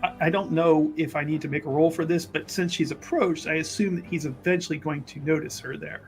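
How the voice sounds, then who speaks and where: A woman's voice: low-pitched, fairly even intonation, fairly quick, darkish tone, soft background music. A woman playing a tabletop roleplaying game, casually describing what her character does as she improvises.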